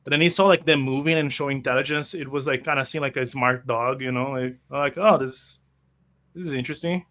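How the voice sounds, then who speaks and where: A man of medium pitch chats with his gaming group. He feels his way through his thoughts aloud, haltingly, as a player talking about his character. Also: fairly even intonation, fairly bright tone. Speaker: tabletop role-playing player. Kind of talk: casual group chat about a role-playing game.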